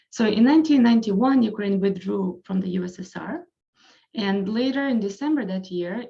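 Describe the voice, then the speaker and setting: A woman political scientist presenting on an online panel in a measured, lecture-like way with some fillers. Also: mid-range voice, darkish tone. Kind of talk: academic panel talk. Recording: dull lo-fi video call audio.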